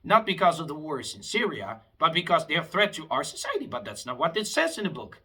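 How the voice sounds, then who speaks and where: Young man, heated and lively. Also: on the high side, a little fast, husky. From Afghanistan. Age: 20s. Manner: heated, rambling, with frequent restarts. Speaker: vlogger. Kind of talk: impassioned rambling monologue.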